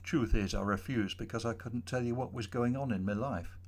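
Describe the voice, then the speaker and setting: A man voicing a young woman of about thirty who speaks quietly and candidly in a storybook reading. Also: mid-range voice, moderate pace, lightly acted. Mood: confiding. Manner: quiet, soft-spoken.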